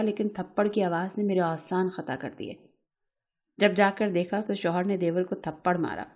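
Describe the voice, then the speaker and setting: A woman's voice: medium pitch, muffled recording. A woman reading a scripted, emotional first-person story as a narrator, in a dramatic storytelling manner.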